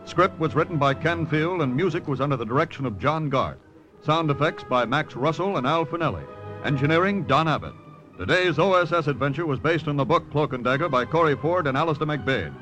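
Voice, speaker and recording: A man's voice: fairly high pitch, fairly bright tone. A man announcing scripted closing credits in a formal, enunciated old-time radio style. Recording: vintage radio recording with faint music.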